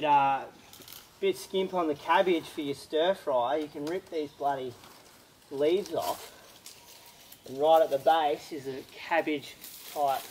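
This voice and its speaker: A man's voice: medium pitch, slight background noise, poor audio. A young Australian man giving casual, jokey commentary outdoors on a hot gorge hike, with a bit of mild swearing, recorded on a handheld action camera. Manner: laid-back, jokey, sprinkles in mild swearing. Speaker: travel vlogger.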